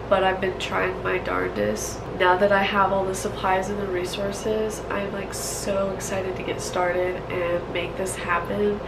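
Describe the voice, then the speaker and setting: A young woman in college vlogging casually from a small dorm room, raspy and congested as her voice fades, gushing with lots of fillers. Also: mid-range voice, noisy background. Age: young adult. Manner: bubbly, gushing, filler-heavy. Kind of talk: casual unboxing vlog.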